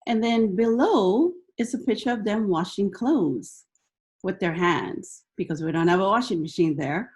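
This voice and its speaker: A woman's voice: medium pitch, expressive, unhurried, a little mellow. A woman whose first language is Hawaiian, from Niihau, presenting slides to a webinar audience in a loose, hesitant way with frequent fillers. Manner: hesitant, many ums and restarts. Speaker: presenter, teacher educator. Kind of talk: casual presentation talk.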